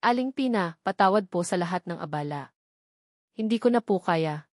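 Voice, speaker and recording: A woman's voice: on the low side, expressive, normal speed, no room sound, studio quality, lightly acted. Narrator as a heartbroken, humble young mother. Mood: sorrowful, apologetic. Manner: humble, pleading. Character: heartbroken, apologetic young mother writing a farewell letter.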